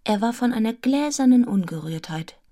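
A woman's voice: on the high side, expressive, moderate pace, mellow, natural voice. Introspective young governess, acted by a woman. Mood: reflective, grave. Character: Jane Eyre, serious, restrained young governess narrating her own story. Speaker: actor in a radio play. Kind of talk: literary radio drama narration and dialogue.

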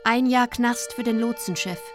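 An actress in a German radio drama playing an urgent reader of news headlines. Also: female voice, on the high side, moderate pace, close to an everyday voice. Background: music.

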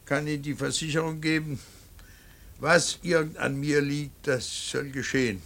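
An actor playing a stern, anguished middle-aged father in a radio drama, speaking in a deliberate, halting and emphatic way. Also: a little high, steady tone, gravelly, a bit bright, slight background noise. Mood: measured concern. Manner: deliberate, halting, emphatic, swinging from muttered to pleading. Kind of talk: German radio drama acting.

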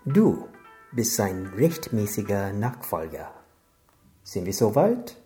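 A man's voice: on the low side, sing-song, slow, mellow, soft background music. A man in a German radio crime drama playing a suave, menacing Chinese crime boss who is genial but insinuating and commanding. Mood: pressing, menacing.

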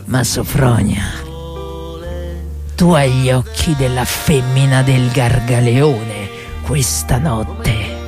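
Woman acting hoarse, theatrical old rogue cook. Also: a bass voice, slow pace, pitched below the performer's own voice. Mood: seductive. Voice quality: hoarse, cavernous. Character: Rasputin, roguish old male cook, cavernous-voiced, passionate. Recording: music behind the voice.

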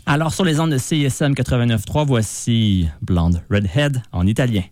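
A man's voice: mid-range voice, normal speed, a little mellow. Hesitant man hosting a radio show. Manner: hesitant, many filler sounds and restarts. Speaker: radio host. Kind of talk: live radio music show links. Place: radio studio. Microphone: studio microphone.

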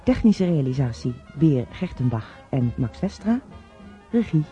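An announcer reads scripted radio play credits. The voice is very dark and mellow, on a dull, lo-fi recording with music underneath. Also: medium pitch, very animated, unhurried.

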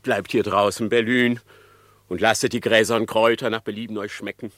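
An actor playing a sly, smug, murderous fox in classic radio drama, with an unctuous, theatrical delivery that savours each phrase. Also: male voice, fairly low pitch, steady tone, brisk, fairly bright tone, no room sound, clean studio sound, lightly acted. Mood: flattering, feigned warmth.

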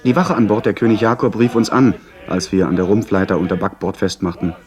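A man's voice: lowish voice, fairly quick, a little mellow, soft background music, lightly acted. A man playing an earnest narrator who recounts a sea adventure in scripted radio play style. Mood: measured.

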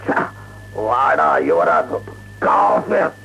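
An actor in a radio play playing a proud, short-tempered old Persian emperor who declaims with indignant pauses. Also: male voice, on the low side, wildly swooping pitch, gravelly, a bit bright, slight background noise, full character voice, low-quality recording. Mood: outraged. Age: older. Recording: radio drama with music.

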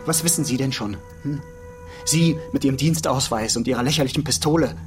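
A man's voice: medium pitch, a little fast, faint music behind, lightly acted. An actor playing a resentful male busybody under suspicion in a radio play, speaking in a defensive, aggrieved manner. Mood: bitter, agitated. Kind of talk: radio drama dialogue.

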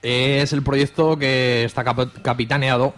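A man from Spain with a Spanish accent hosts an informal music radio show in a casual, halting way. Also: medium pitch, little pitch movement, a bit bright.

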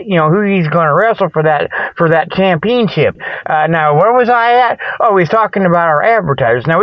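A man's voice: high-pitched, no room sound. A man hosting a comic mock report in a folksy, rambling, colloquial way that often loses its thread, on lo-fi audio. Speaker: host of a comedic mock news report. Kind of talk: rambling comic monologue, spoof sports and ad report.

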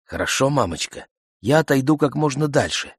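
A man's voice: mid-range voice, animated, moderate pace, husky, no echo, studio quality, pitched above the performer's own voice. Man acting an anguished, pleading young woman. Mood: dutiful. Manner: quiet, pleading, hesitant. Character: anguished young woman, fearful but determined. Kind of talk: audiobook character voice.

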